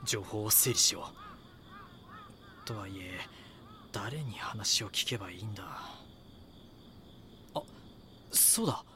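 An actor playing a young magazine reporter in an audio drama, polite, earnest and persistent. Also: male voice, fairly low pitch, slow, long pauses, background noise. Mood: pensive. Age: young adult. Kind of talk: audio drama acting with inner monologue.

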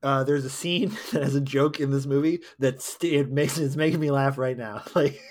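A man's voice: medium pitch, normal speed. A man co-hosting a podcast, chatting casually about movies and laughing as he talks. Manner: animated, laughs while talking. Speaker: podcast co-host.

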